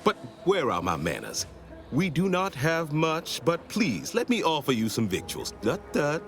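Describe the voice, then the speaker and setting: Florid, swooping pompous official. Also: male voice, on the high side, wildly swooping pitch, unhurried, fairly bright tone, soft background music, exaggerated voice. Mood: effusive, grandiose. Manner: florid, formal, grandiose politeness. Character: effusive, pompous male official welcoming a stranger. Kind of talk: theatrical video game character dialogue.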